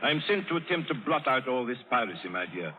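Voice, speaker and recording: An actor playing a haughty, excitable titled Englishman with a British accent, pompous and clipped, in a vintage radio drama. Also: mid-range voice, quick pace, narrow, tinny audio, natural voice. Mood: curious, excited. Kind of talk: 1930s-40s radio drama acting. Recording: noisy, band-limited old radio broadcast.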